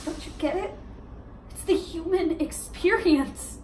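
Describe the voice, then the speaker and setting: A woman performing an amateur theater monologue as a heartbroken high school girl, insistent and emotional, with deliberate dramatic pauses. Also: a little mellow, reverberant, slight background noise. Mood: pleading, desperate.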